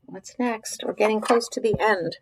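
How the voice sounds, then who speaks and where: A woman's voice: mid-range voice, moderate pace, slightly rough, poor audio. A woman reading a picture book aloud to young children, stopping to ask questions, muse aloud and explain things simply. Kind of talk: read-aloud with informal commentary to young children.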